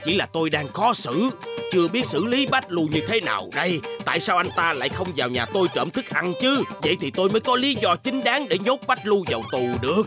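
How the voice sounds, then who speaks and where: A man's voice: high voice, very animated, fairly quick, over music. A man playing a dramatic, vain, exasperated police inspector in a dubbed cartoon, pompous and theatrical. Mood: brooding, boastful.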